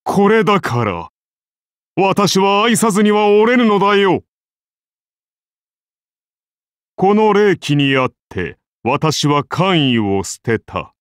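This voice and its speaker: A majestic founder-king of Rome turned god, declaims in archaic, solemn phrases for an anime game. His benevolent voice moves slowly and sweeps widely in pitch, in a close, dry recording. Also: very animated, clean tone, no room sound, heavily acted. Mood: tender, reassuring. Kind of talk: anime game character voice lines.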